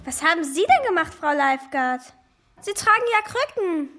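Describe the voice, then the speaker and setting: A woman playing a squeaky, gushing schoolgirl superhero pupil in a children's radio play. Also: sing-song, normal speed, heavy background noise. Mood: eager, curious.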